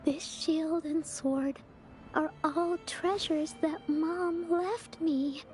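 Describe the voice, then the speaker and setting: A woman voicing a sweet, wistful little girl in a video game, speaking gently and earnestly. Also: very high-pitched, steady tone, extremely slow, faint music behind, heavily acted. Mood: wistful, sad.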